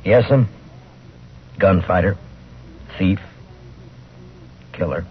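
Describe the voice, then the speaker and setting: An actor playing a stern, world-weary marshal in a 1950s radio western, speaking in a terse, restrained way. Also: male voice, low voice, very slow, slight background noise. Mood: somber, quiet. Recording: lo-fi vintage radio broadcast, tinny.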